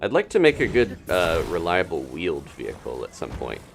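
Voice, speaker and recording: A man's voice: deep voice, huge pitch swings, a little fast, gravelly, slight background noise. A man giving casual live gameplay commentary as a streamer, thinking aloud as he plays. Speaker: game streamer, host.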